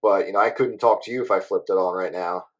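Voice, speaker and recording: A man's voice: low voice, fast, very noisy. Easygoing man in casual radio chat. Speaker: amateur CB radio operator.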